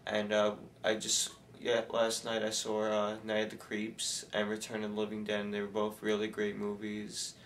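Flat-voiced young man vlogging. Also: lowish voice, normal speed, hardly any pauses, far from the mic, a little hiss or hum. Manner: casual, rambling, filler-heavy. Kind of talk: casual handheld vlog narration. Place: hotel lobby and hotel room. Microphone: handheld camera mic.

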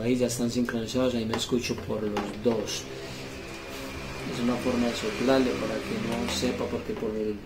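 Man explaining over clear background noise. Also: mid-range voice, a little mellow. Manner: halting, step-by-step phrasing with pauses. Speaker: seller demonstrating referee intercom gear. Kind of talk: hands-on tutorial, unscripted explanation. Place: small indoor room at a table.

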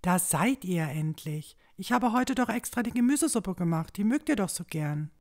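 A village mother acted by a woman in a fantasy radio play. She is caring yet quick to scold, with an expressive, reproachful manner and an average pitch. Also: natural voice.

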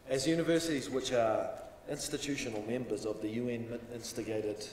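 A man in his forties at a lectern, giving a measured, formal welcome speech with phrases that trail off. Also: medium pitch, fairly even intonation, a little mellow. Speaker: university welcome speaker. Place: conference room, at a lectern. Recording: roomy hall reverb, distant mic, faint hiss.

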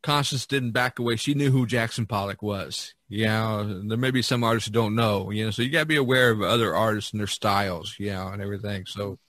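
A man's voice: lowish voice, a little fast, few pauses, fairly bright tone, no room sound. A man hosting a casual unscripted podcast, talking in a rambling way and repeating his phrases. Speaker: podcast host.

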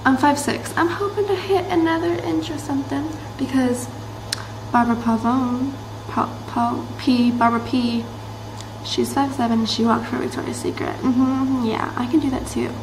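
A teenage girl from Massachusetts chatting to her webcam in a bright room, rambling and bubbly with lots of fillers. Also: high voice, normal speed. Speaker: vlogger. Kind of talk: casual chat to camera. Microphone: built-in camera mic, close webcam framing. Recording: roomy echo, faint background hiss.

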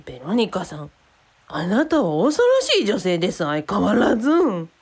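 Radio drama youth, male role voiced by a woman: earnest, polite, matter-of-fact, with animated intonation. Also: mid-range voice, moderate pace, slightly rough, heavily acted. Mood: earnest, insistent.

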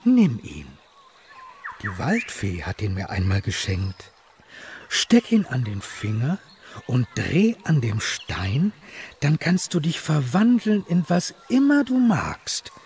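An actor playing a kindly, grateful little dwarf king in a German fairy-tale audio drama, speaking solemnly and deliberately. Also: mid-range voice, sing-song, darkish tone, slight background noise. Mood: mysterious, generous.

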